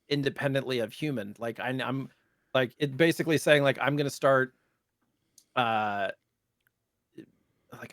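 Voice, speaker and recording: Dude cohosting a podcast, medium pitch, rambly and hesitant, says like a lot, no room echo. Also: male voice, a bit bright, studio quality. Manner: loose, hesitant phrasing, frequent "like". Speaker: podcast co-host. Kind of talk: casual chat.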